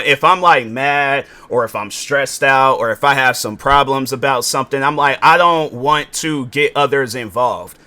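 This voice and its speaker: A man's voice: medium pitch, sharp tone, clean tone. A man, giving a rambling casual commentary on his podcast with many fillers and restarts. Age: 20s. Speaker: podcast host.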